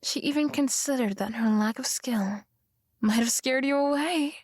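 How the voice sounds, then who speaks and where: A woman acting a possessive, sweetly menacing yandere girlfriend in an intimate ASMR roleplay, with a breathy voice that often drops to a whisper and a coaxing, threatening manner. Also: high voice, no echo, clean studio sound. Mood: bitter, possessive. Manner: slow, coaxing, softly threatening.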